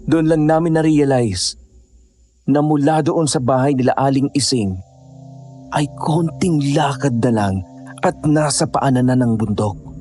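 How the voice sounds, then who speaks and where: A man's voice: mid-range voice, warm tone, lightly acted. A man playing an earnest, devoted young boyfriend in an audio horror drama.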